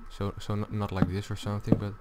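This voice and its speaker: A man with a low voice giving a casual, unscripted gaming tutorial, thinking aloud as he goes. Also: completely flat delivery, fast, very noisy. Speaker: video tutorial host. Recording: music behind the voice. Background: loud music.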